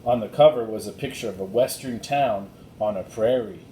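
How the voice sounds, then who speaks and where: A man's voice: normal speed, warm tone. A man narrating a children's storybook as a reader, recorded in an echoey room. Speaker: audiobook reader. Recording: roomy echo, faint rumbling noise.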